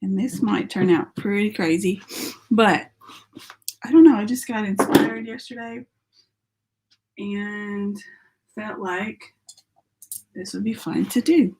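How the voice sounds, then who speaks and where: A woman with a sore throat, her voice starting to give out. She talks slowly and unhurriedly, chatting and thinking aloud as she works through a casual live painting tutorial. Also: medium pitch, darkish tone, breathy edge, low-quality recording. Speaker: artist hosting a live painting stream.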